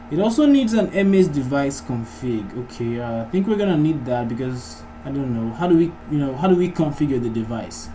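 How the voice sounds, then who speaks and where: Man, mid-pitched voice, informal coding tutorial host, thinking aloud, clearly noisy recording with keyboard typing. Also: mellow. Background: background noise, computer keyboard typing.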